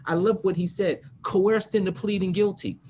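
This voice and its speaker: A man's voice: sits high, fairly even intonation, normal speed, a little mellow. A middle-aged man speaking as an attorney on a panel, blunt, colloquial and emphatic. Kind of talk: spontaneous, argumentative panel talk. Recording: noisy background ambience.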